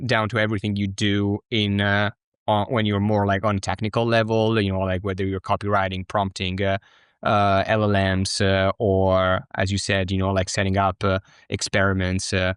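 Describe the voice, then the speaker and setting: Male podcast host, lowish smooth voice, hesitant and repetitive phrasing, conversational interviewing. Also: fairly bright tone, no room sound, clean studio sound. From Spain. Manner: halting, hesitant phrasing with frequent stammered repeats.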